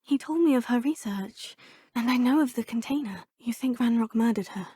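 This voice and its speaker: A woman's voice: a little high, moderate pace, dark tone, slightly rough, no echo, studio quality. An actress playing an earnest, curious young student witch, speaking politely and formally. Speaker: player character. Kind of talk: video game voice lines.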